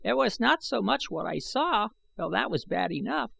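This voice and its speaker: A man's voice: sits high, very animated, moderate pace, creaky, pushed and bright, slight background noise, pitched above the performer's own voice, heavily acted. A man voicing a sarcastic, fearless old taxidermist in an adventure storybook. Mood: boastful, fearless. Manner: taunting, stubbornly fearless. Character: sarcastic, defiant old male taxidermist of an alien city.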